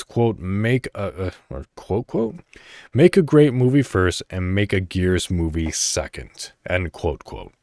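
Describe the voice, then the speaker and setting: A man's voice: fairly low pitch, darkish tone, no room sound, studio quality. A man hosting a podcast, reading articles aloud with casual commentary, occasionally stumbling over words and correcting himself. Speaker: podcast host.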